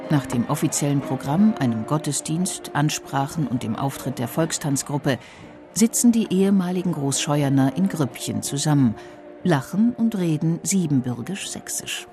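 Documentary narrator, mellow tone, measured reading, faint background music. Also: mid-range voice, expressive. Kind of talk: scripted documentary voice-over.

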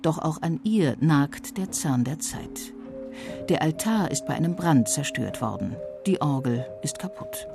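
A narrator reading a scripted documentary voice-over in a measured, even way. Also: mid-range voice, gravelly, over loud music.

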